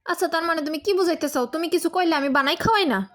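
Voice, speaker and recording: A woman acts out a touchy young wife from a comic cartoon. Her voice sits high, with a Bangladeshi accent, and she argues and nags readily. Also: fast talker, fairly bright tone. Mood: indignant nagging. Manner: nagging, quarrelsome.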